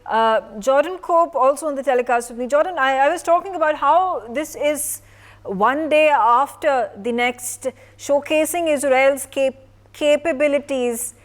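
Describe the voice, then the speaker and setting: A woman with an Indian accent anchoring a live news broadcast, putting drawn-out, halting questions to guests. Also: sits high.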